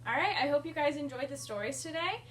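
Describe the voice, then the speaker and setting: High-voiced woman reading to children, roomy sound. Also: fast talker. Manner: expressive, addresses children directly with simple questions. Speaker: children's librarian reading a picture book at story time. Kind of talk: read-aloud rhyming children's story with asides to young listeners. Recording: roomy echo, distant mic, music behind.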